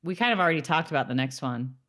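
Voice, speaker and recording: A woman's voice: deep voice, lively intonation, very fast, a bit bright. A woman co-hosting a podcast, talking in a casual, conversational way about a TV episode. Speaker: podcast co-host.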